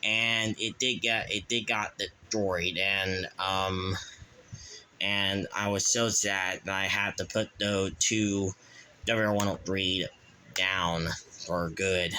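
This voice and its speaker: A man hosting his own channel, showing his collection in a halting, rambling way, full of restarts and fillers. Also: deep voice, level tone, bright, forceful tone, slight background noise, rough recording. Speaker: channel host. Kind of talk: casual collection show-and-tell.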